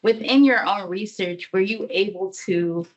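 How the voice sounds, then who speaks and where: A soft-spoken woman in the audience asks the speaker a question, sounding a little hesitant. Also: medium pitch, a little slow, dull audio. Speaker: audience member asking a question. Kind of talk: question from the floor.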